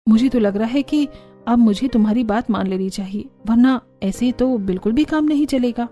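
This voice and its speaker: Woman playing a nervous young cartoon daughter-in-law, soft-edged tone, hesitant, weary, faint music. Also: on the high side, moderate pace, lightly acted. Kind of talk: Hindi cartoon story character voice.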